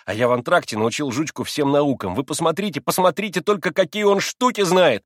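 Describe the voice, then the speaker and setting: A man's voice: mid-range voice, animated, moderate pace, fairly bright tone, no room sound, clean studio sound. A man voicing a proud, eager teenage boy in an audiobook, exclaiming and explaining in a bossy showman's way. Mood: excited, showing off. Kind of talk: acted audiobook dialogue.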